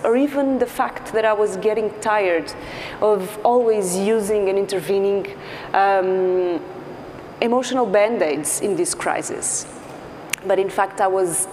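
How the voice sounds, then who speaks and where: A woman's voice: medium pitch, a little mellow. Portuguese-accented woman talking in a hall. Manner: earnest, halting with fillers. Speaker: clinical psychologist speaker. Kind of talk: conference talk. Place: large dark stage. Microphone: lapel mic. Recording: large hall with faint noise.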